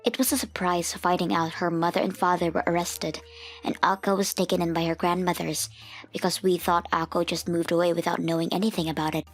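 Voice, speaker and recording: A woman's voice: lowish voice, little pitch movement, brisk. A woman narrating a scripted first-person story as a grown woman soberly looking back on a family memory. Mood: sober, reflective. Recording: music under the voice.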